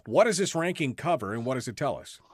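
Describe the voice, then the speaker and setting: A man's voice: medium pitch, sing-song, fast, dry recording. Casual, blunt male radio host, dry sound. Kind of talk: casual talk-radio chat.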